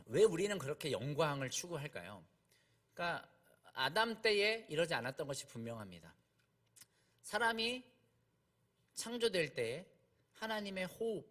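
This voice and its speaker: Male preacher, slightly high voice with highly varied intonation; explains conversationally, repeats key points, asks rhetorical questions. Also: fairly bright tone. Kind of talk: conversational expository sermon.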